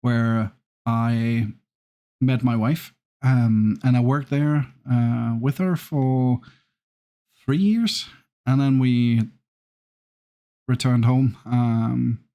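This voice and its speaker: A man with a Scandinavian accent carrying traces of Irish talks his way through a game. His speech is slow and unhurried, full of pauses and restarts, at a middle pitch. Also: fairly even intonation, mellow. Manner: hesitant, many ums and restarts, unhurried. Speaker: gaming YouTuber. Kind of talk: casual gameplay commentary.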